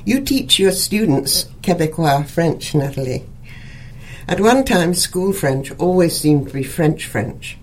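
A woman hosting a radio-style talk show, asking a guest questions in a measured, encouraging way. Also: on the low side, animated, a little hiss or hum, low-quality recording. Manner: measured, encouraging, frequent short acknowledgements. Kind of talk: interviewing a guest.

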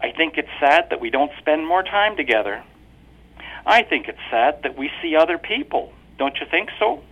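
A man reading aloud and voicing a cool, controlling wife who speaks in a flat, even tone that turns mockingly soothing. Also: fairly high pitch, moderate pace, phone-quality sound, voice pushed up.

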